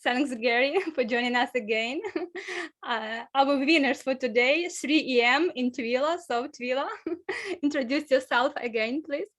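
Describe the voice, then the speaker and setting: A Ukrainian-accented woman hosts an online meeting in a very high voice. She speaks slowly and laughs often between introductions. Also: fairly bright tone. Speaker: club president hosting an online meeting. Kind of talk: casual introductions.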